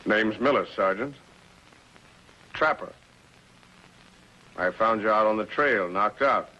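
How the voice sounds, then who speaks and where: Casting: sly frontier gold thief, rustic turns of phrase, forceful low-ish voice, dull vintage TV sound. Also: male voice, slow pace. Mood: plainspoken, feigned concern. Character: sly outlaw gold thief posing as a folksy trapper. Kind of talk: 1950s television western acting.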